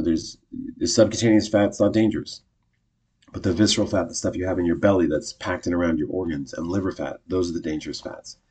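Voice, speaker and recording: A man's voice: low-pitched, a little mellow, breathy edge. A man in his fifties talking casually to camera, rambling and correcting himself often in an upbeat way. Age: 50s. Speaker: vlogger.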